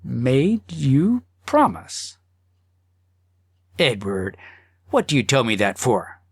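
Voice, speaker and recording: Male narrator as loving wife, earnest and reproachful, widely varied pitch, gravel edge, storybook delivery. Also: huge pitch swings, no room sound, studio quality, full character voice. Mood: incredulous. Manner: earnest, emotional, deliberate. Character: devoted, earnest wife, gently reproachful and pleading. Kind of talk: audiobook character acting.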